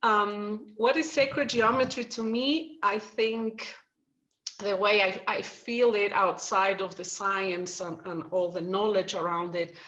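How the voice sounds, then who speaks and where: Greek-born woman, middle aged, talks it through slowly with lots of ums, interview guest. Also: medium pitch, fairly even intonation, fairly bright tone, lo-fi sound. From Greece. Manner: thoughtful, explains at length with fillers. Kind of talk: casual interview answer.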